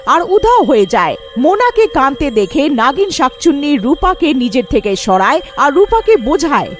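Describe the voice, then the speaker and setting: A woman narrator delivers a scripted story. Her voice is high and animated in pitch, with faint music behind it. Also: normal speed.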